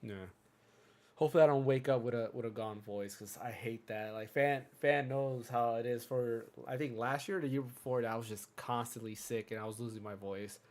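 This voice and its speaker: A man hosting a casual gaming podcast, chatty and rambling, a bit hoarse from a cold. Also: medium pitch, steady tone, brisk, darkish tone. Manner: chatty, rambling, self-interrupting. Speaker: podcast host.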